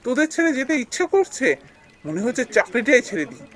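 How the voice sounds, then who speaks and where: A man's voice: very high voice, very animated, fast, fairly bright tone, exaggerated voice. A man voicing a friendly, downhearted big-brother office colleague in an emotive, familiar manner for a cartoon story. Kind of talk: animated cartoon story acting.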